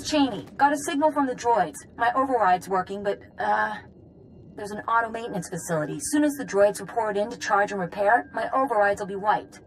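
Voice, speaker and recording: A woman playing a practical tech specialist in a game cutscene, brisk, clipped and matter-of-fact. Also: mid-range voice, faint music behind, close to an everyday voice, rough recording. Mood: businesslike urgency.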